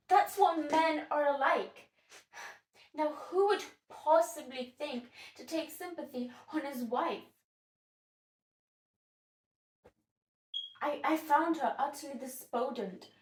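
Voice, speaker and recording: A woman's voice: sits high, pitched above the performer's own voice. A woman playing an opinionated, indignant young woman in a classical stage monologue, dramatic, emphatic and sardonic. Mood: pitying, resolute. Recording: echoey room, distant microphone.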